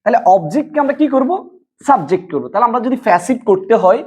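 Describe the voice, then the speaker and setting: A woman's voice: on the high side, animated, quick pace, darkish tone, breathy edge. A woman teaching students a grammar lesson, explaining step by step with repetition and questions she answers herself. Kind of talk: board lesson addressed to students.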